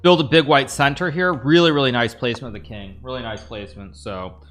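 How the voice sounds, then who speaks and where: A man's voice: mid-range voice, a little fast, fairly bright tone, faint music behind. An American man in his thirties, streaming a chess game, thinking aloud and answering chat questions in a casual, rambling way. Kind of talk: casual live-stream commentary.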